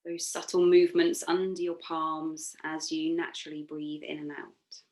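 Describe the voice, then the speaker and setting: A woman yoga instructor leading a guided relaxation meditation in a soothing, gently encouraging, unforced manner. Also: deep voice, level tone, normal speed.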